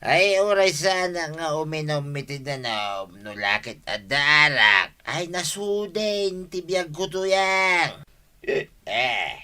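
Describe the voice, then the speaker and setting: A man's voice: fairly high pitch, animated, slow pace, sharp tone, smooth, heavily acted. A man with an Ilocano accent playing a stubborn, whining village drunkard in a comedy radio drama, drawling his complaints. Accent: Ilocano, northern Philippines.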